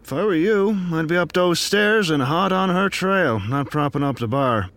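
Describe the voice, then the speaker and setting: A lively, wry noir bartender. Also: male voice, a little high, moderate pace, dry recording. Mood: wry, teasing. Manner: folksy, teasing, talkative. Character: chatty, wry, nosy 1930s male bartender. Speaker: actor in scripted audio drama. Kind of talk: gothic noir audio drama acting.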